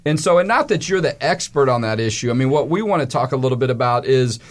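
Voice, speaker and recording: A man's voice: medium pitch, expressive, fast. A man hosting a studio talk show, speaking in a friendly, chatty, folksy way into a studio mic. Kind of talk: casual studio interview. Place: radio studio.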